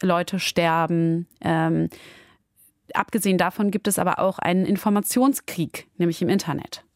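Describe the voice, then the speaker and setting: A woman's voice: on the low side, fairly even intonation, moderate pace, no echo, studio quality. A woman co-hosting a podcast, chatting casually with hesitant fillers and questions. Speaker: podcast co-host. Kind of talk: casual chat.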